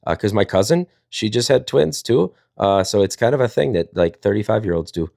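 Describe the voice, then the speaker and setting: A man's voice: on the low side, expressive, fairly quick, gravelly, darkish tone, dry recording, studio quality. A man, chatting and telling stories in a rambling, jokey way. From Wisconsin, United States. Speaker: storyteller and live panel host. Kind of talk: casual chat and storytelling.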